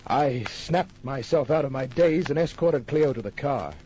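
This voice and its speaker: An actor playing a wry, tough private detective narrating his case in an old-time radio drama, deadpan and wisecracking. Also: mid-range voice, measured pace, close to an everyday voice. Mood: wry, smitten. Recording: muffled old radio recording with music.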